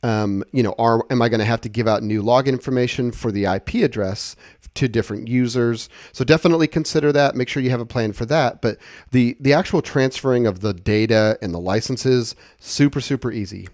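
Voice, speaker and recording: A man giving a casual, conversational software walkthrough, explaining things step by step. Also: medium pitch, fairly quick, no echo, clean studio sound. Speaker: software tutorial presenter.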